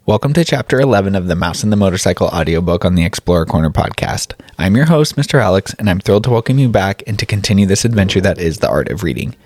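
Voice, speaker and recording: A man's voice: low-pitched, lively intonation, fast, few pauses, darkish tone. A man hosting a podcast with an upbeat, scripted introduction. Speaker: podcast host.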